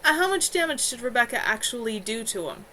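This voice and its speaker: A woman's voice: on the high side, big pitch swings, fairly quick, bright, forceful tone. A woman voicing a frank, caring woman healer in a storybook reading. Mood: grave. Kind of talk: amateur storybook dialogue reading. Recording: noisy home livestream audio.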